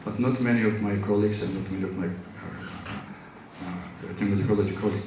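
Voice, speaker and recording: A man with a Georgian accent delivers an academic talk, his speech halting and full of fillers and restarts. His voice sits on the low side. He is heard from a distance in a large hall with heavy echo and a faint hum of ambience. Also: steady tone. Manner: hesitant, many fillers and restarts. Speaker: conference speaker. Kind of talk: academic lecture. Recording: echoey hall from far off.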